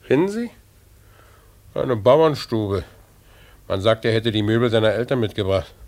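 An actor playing a smooth, worldly middle-aged businessman, condescending and suave, in a 1950s radio drama. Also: fairly low pitch, animated, a little slow, darkish tone, dry recording. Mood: smooth, persuasive. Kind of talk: 1950s German radio play acting. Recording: noisy 1950s radio play recording.

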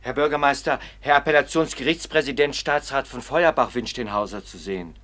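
A man's voice: medium pitch, fairly quick, fairly bright tone, lightly acted. A man playing a plain-spoken, dutiful warden in a radio play, simple and deferential. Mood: announcing. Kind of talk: German radio play acting.

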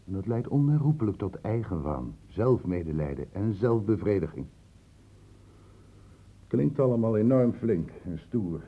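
An actor playing a shrewd, paternal doctor in a radio play, speaking in a measured, patronising, ironic way. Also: male voice, on the low side, big pitch swings, mellow, breathy edge, exaggerated voice. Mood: grave lecturing. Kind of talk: radio play acting in Dutch. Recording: muffled, phone-like old radio recording.

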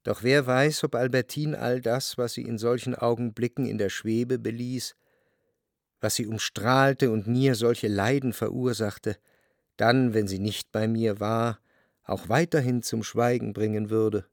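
An actor playing a jealous, brooding narrator who speaks in measured, reflective long sentences in a literary radio drama. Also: male voice, mid-range voice, steady tone, dry recording, clean studio sound, close to an everyday voice. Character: jealous, brooding male narrator, introspective and anxious.

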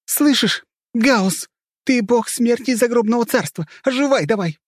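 Man putting on a fierce, high-voiced young woman fighter. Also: measured pace, no room sound, clean studio sound. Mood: desperate. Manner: shouting, swearing, frantic. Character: fierce foul-mouthed young woman fighter, desperate and bossy. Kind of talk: dramatic audiobook character acting.

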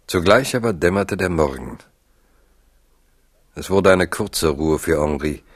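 Very deep-voiced solemn male narrator. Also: no room sound, studio quality. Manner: measured, solemn. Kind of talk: literary scripted narration in a radio drama.

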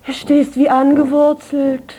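Radio play: frail former slave girl grown into a yearning woman, higher register, very slow, breathy, poetic. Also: monotone, over music, rough recording. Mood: eager, impatient. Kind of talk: literary German radio drama acting.

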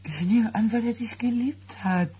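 A woman's voice: on the high side, husky. An actress playing a reassuring, practical woman comforting a friend at her bedside in a radio drama. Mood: dismissive, reassuring. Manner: reassuring, hushed, sometimes brisk. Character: soothing, practical female friend at a bedside, evasive. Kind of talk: 1990s German radio drama acting. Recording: faint hum and noise.

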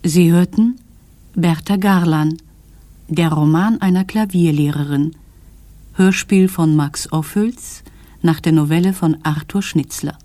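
A woman reading credits as a formal, measured 1950s radio announcer. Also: sits low, dark tone, no echo.

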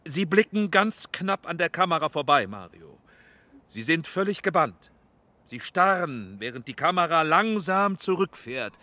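An actor playing a middle-aged film director in a German radio drama, instructing with emphasis and patience. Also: male voice, fairly high pitch, big pitch swings, measured pace, pushed and bright, heavily acted. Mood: instructing, patient. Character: weary, accommodating middle-aged film director coaching extras.

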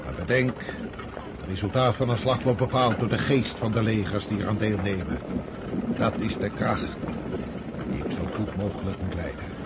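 A heavy old field marshal acted by a man with a low voice, ponderous and paternal. He is patient and fatalistic in this radio drama, with loud noise all around him. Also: normal speed, natural voice. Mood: wise, decisive. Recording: very noisy outdoor battle radio drama.

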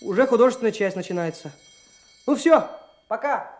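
An actor playing a brash, self-assured young police trainee, chatty and colloquial, in a radio drama. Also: male voice, high voice, lively intonation, moderate pace, a little mellow, soft background music. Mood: eager, breezy. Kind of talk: Soviet radio drama dialogue.

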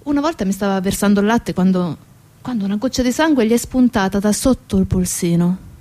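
A woman's voice: mid-range voice, brisk, warm tone. A woman delivering a dramatic theatrical monologue as a stage storyteller. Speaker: stage actress and narrator.

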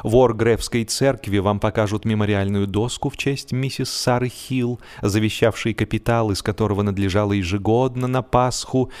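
A man reading a humorous story as an expressive, scripted narration, in a measured, theatrical storytelling manner. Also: fairly low pitch. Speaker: audiobook narrator. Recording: music behind the voice. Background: music.

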